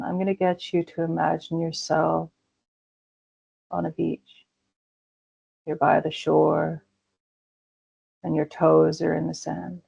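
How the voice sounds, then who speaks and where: A woman leading a guided meditation in a slow, soothing way with gentle pauses. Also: fairly low pitch, vocal fry, slightly rough. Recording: dull lo-fi recording.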